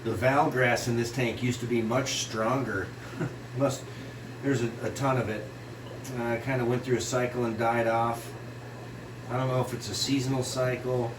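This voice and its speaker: A man with a stuffed-up, runny nose talks through his home fish room in a loose, rambling way, stopping often with fillers. His voice sits at an ordinary pitch and sounds a little distant from the camera mic. The room is echoey, and a loud air-conditioner hum runs underneath. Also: flat delivery. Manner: rambling, with many pauses and fillers. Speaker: fish breeder giving a tour. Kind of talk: casual walkthrough vlog.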